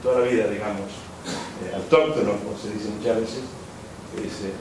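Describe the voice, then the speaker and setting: A man's voice: on the low side, steady tone, moderate pace, vocal fry. A man giving an academic lecture, rambling and rephrasing with frequent hesitations. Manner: discursive, rephrases often, many "eh" fillers. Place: lecture hall. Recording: echoey hall, distant mic, background noise.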